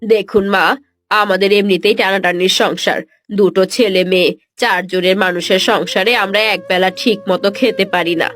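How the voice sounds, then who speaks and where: A woman playing a stingy young daughter-in-law in a cartoon story, speaking rapidly and insistently. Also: medium pitch, steady tone, a bit bright, no room sound, close to an everyday voice. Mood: coolly excusing. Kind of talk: cartoon moral story dialogue. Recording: music under the voice.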